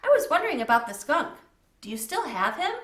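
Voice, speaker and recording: A woman's voice: high voice, sing-song, a little fast, roomy sound, pitched above the performer's own voice. A woman voicing a friendly, curious young schoolboy in a storybook, with an eager, casual manner.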